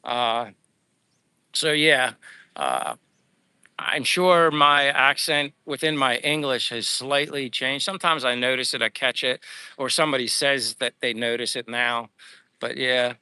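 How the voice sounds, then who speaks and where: A man's voice: mid-range voice, a little slow, bright, forceful tone, clear, resonant tone, no room sound. A man with a Pennsylvania accent hosting a casual livestream, rambling upbeat and thanking viewers as he chats. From United States. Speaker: livestream host. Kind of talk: casual livestream chat reading viewer comments. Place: living abroad.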